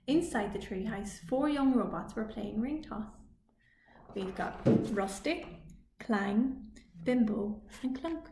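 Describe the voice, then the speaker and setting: A woman reading a children's story aloud as a librarian, with expressive storytime narration. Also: mid-range voice. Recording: echoey room, faint noise.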